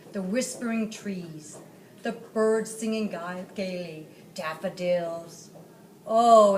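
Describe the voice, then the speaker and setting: A woman's voice: medium pitch, darkish tone, lightly acted. A woman voicing a genteel, cultured male dragon in a children's storybook, chatty and flowery in manner. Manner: chatty, flowery, self-satisfied. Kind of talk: children's storybook read-aloud. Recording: roomy, distant mic, faint noise.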